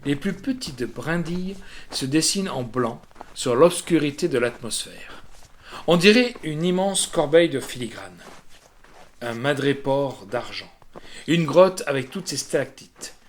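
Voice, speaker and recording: A man reading a literary gothic tale as an audiobook, in an expressive, measured and dramatic storytelling manner. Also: on the high side. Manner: dramatic, measured storytelling with swells and hushed drops. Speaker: audiobook reader. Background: music, guitar.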